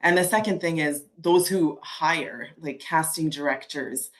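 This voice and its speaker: Deep-voiced woman on a video call. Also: unhurried. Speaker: voice actor. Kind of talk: answering questions in a role-play.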